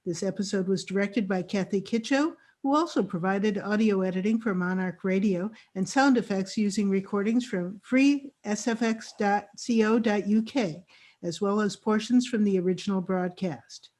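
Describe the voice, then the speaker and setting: A woman's voice: mid-range voice, moderate pace, darkish tone. A woman announcer reading scripted credits.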